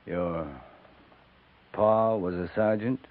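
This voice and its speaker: Through thin old radio sound comes a low-voiced American cavalry captain. He is grave and kindly, measuring out short, solemn phrases in the manner of a fifties western drama. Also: steady tone, takes it slowly. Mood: grave, probing. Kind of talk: 1950s radio western acting. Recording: narrow-band 1950s radio recording.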